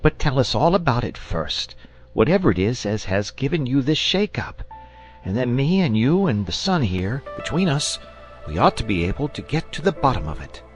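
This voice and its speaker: A man plays a sensible, soothing country wife in a children's audiobook. The pitch is lifted, and the manner is plain-spoken and rustic. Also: big pitch swings, normal speed, faint music behind, lightly acted, low-quality recording. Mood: calming.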